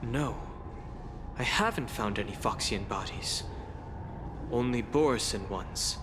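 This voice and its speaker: Man voicing polite male subordinate, medium pitch, formal, earnest, fantasy game dialogue, background music. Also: slow, close to an everyday voice. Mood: serious, inquiring. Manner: formal, respectful. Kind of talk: video game voice acting.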